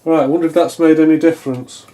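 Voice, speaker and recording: Brief: male hobbyist repairer, soft-edged mellow tone, casual and unsure, thinking aloud at the bench. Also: a little high, steady tone, quick pace, a little hiss or hum. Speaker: electronics repair hobbyist, video host. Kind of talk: casual hands-on repair commentary. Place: indoors at a wooden workbench.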